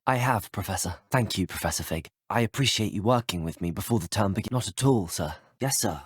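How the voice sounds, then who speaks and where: Lively, polite young British wizard student. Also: male voice, lowish voice, a little fast, husky, dry recording, clean studio sound. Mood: respectful. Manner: courteous, well-spoken, formal. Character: polite, earnest, curious teenage boy wizard student. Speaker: player character voice actor. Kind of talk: scripted video game voice lines.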